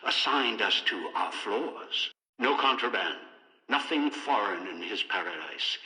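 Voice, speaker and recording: An older actor voicing a ruthless, obsessive, scheming old man in a video game, deliberate and imperious, with dramatic pauses and an echoing radio-style sound. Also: on the low side, bright, forceful tone, full character voice. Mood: commanding, calculating.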